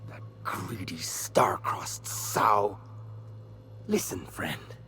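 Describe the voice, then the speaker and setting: An actor playing a pompous, menacing meat tycoon and killer in a video game, florid and mock-polite, dropping into breathy whispers. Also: male voice, sits low, animated, very slow, pushed and bright, exaggerated voice. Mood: impatient, threatening. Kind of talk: video game character acting.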